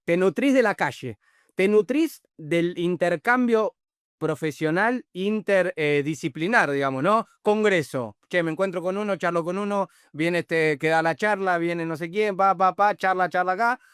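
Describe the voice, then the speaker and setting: Guy hosting a chat, high smooth voice, rambly, bits of portuguese creep in, dry sound. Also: moderate pace, fairly bright tone, no room sound. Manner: rambling, mixes in Portuguese words. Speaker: podcast host. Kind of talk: casual interview chat.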